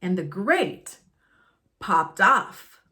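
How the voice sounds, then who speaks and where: A woman reading a children's storybook aloud expressively and deliberately, with dramatic pauses. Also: lowish voice, very animated, pushed and bright. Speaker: storybook reader.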